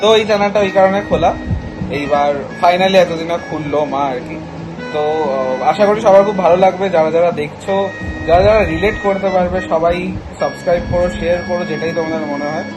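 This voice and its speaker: Young man, quite high voice, informal vlog chat, English words mixed in, loud music. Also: fast talker, poor audio. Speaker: cameraman and editor of a family vlog. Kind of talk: casual chat.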